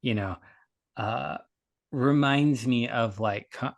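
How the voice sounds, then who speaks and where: A man in a casual podcast discussion, speaking thoughtfully and haltingly in short pieces. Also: mid-range voice, creaky, no echo. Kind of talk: casual reflective chat.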